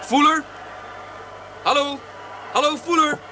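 An urgent male technician, calling out in a very high voice. He is questioning, in radio-play style. The recording is clearly noisy. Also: takes it slowly. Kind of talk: radio drama acting.

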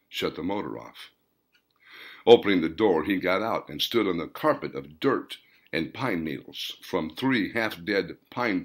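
Male storyteller, audiobook narration, lively rise and fall, unhurried, deliberate dramatic phrasing. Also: deep voice. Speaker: author reading own novel, narrator.

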